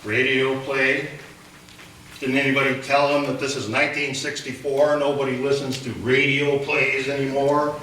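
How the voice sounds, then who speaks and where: A man's voice: mid-range voice, flat delivery, moderate pace, pushed and bright, a little hiss or hum, natural voice. An actor playing a self-pitying male radio station janitor who grumbles and cracks jokes in a live radio play, recorded from a distance in an echoey hall. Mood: wisecracking. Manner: sulky, wisecracking.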